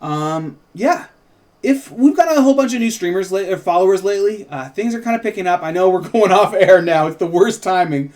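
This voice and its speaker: A man's voice: sits high, animated, brisk, a little mellow. A man chatting casually as a show host and writer, rambling and interrupting his own thoughts. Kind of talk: casual chat about writing a script.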